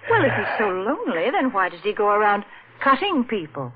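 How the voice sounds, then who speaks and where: A woman co-hosting and pitching for a sponsor in 1940s radio style, chatty, practical and playfully scolding. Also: sing-song, brisk, husky. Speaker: radio sponsor spokeswoman and co-host. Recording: tinny 1940s radio recording.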